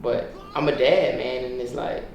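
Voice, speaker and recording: A man in his twenties speaks in a casual, earnest way, circling back over his phrases. His voice is low-pitched for the company he keeps. It comes from a little way off the mic, with heavy room echo. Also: normal speed, a little mellow, a little hiss or hum. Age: 20s. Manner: earnest, repetitive, frequent tag questions. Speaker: guest. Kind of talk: casual chat between friends. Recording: very echoey room, distant mic, faint music.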